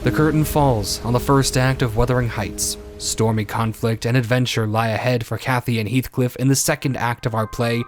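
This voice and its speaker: A man announcing and narrating a radio drama in a formal, theatrical scripted style. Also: mid-range voice.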